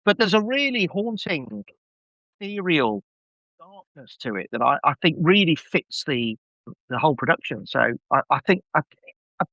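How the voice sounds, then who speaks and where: Male podcast guest, middle-aged, effusive and self-deprecating, animated higher-pitched voice, dry close sound. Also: no room sound, poor audio. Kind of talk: casual enthusiastic review chat.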